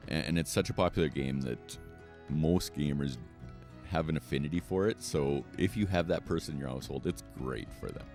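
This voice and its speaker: A man's voice: rumbling low, fairly quick, a little mellow, soft background music. A middle-aged man chatting casually as an interview guest about a hobby. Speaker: guest, board game radio show host.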